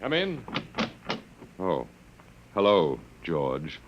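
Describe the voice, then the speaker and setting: An actor playing a mild, bewildered professor in an old-time radio drama, hesitant, stammering and incredulous. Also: male voice, low-pitched, huge pitch swings, very slow, faint music behind, full character voice. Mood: resigned, friendly. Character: bewildered, mild professor, last man on Earth. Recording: dull, muffled vintage radio recording.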